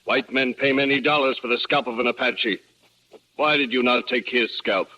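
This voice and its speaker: A man's voice: medium pitch, moderate pace, bright, forceful tone, lightly acted. An actor playing a stern, proud Apache father, suspicious of outsiders, speaking in stiff, commanding formal phrases for radio drama. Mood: stern, challenging. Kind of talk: 1950s radio drama acting. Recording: muffled, noisy old radio recording.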